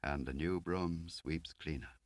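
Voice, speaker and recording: A man reading the part of an ageing spymaster, quiet, courteous, precise and probing, in a spy audiobook. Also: sits low, measured pace, dry recording. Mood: dry, courteous. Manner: understated, precise, questioning.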